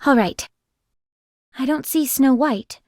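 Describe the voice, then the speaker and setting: An actress playing a composed, weary young female squad leader in a video game, speaking in a measured, formal way. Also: high voice, darkish tone, no echo, clean studio sound. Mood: exasperated. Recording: background music under voice.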